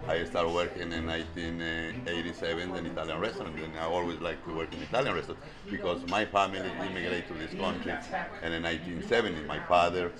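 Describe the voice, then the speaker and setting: A man's voice: low voice, fairly even intonation, fast. A man in his fifties from Ecuador with an Ecuadorian Spanish accent, a restaurant owner casually telling his story in an interview. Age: 50s. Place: restaurant dining room. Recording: loud music behind the voice.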